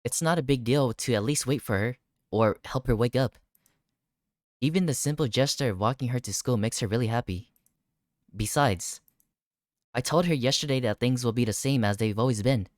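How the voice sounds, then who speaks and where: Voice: medium pitch, moderate pace, no room sound. Gaming streamer with a very dry, close sound. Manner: reads dialogue aloud, breaking into startled reactions. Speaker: video game streamer. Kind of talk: gaming playthrough, reading visual novel text aloud.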